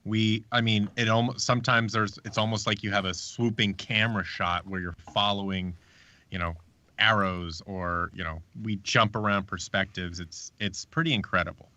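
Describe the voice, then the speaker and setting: A man's voice: lowish voice, fairly even intonation, moderate pace, sharp tone. A man in his thirties chatting casually as a guest in a live interview, often restarting his sentences as he explains. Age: 30s. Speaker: audio drama producer, interview guest.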